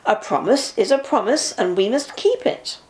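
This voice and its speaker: Woman reading as a calm, firm male driver. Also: on the high side, moderate pace, natural voice. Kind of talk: children's storybook character voice.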